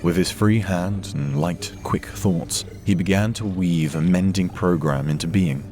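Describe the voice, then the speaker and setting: A man narrating a dramatic science fiction story from a script in a measured, solemn way. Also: sits low, dark tone. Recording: music behind the narration.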